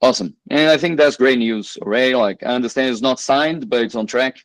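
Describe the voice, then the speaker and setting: A man on a community call talks hesitantly, leaning on lots of "uh" and "like". His pitch is mid-range and the sound is dry and close to the mic. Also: a little fast, no echo. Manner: hesitant, with many fillers and "like". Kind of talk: casual video call chat.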